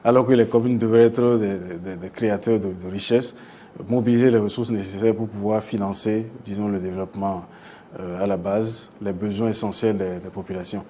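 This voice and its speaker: Male expert guest, Beninese French accent, dark soft-edged tone, halting explanatory delivery, audible noise. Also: fairly low pitch, fairly even intonation. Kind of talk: explanatory interview answers. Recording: clear background noise.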